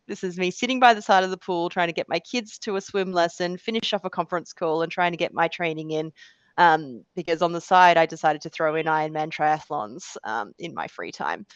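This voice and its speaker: A lowish-voiced Australian woman in her forties talks through a webinar in a chatty, self-deprecating way, with lots of "um" and "you know". The sound is dull and lo-fi, with a dry, close feel. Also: smooth, no room sound. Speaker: guest speaker. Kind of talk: webinar presentation.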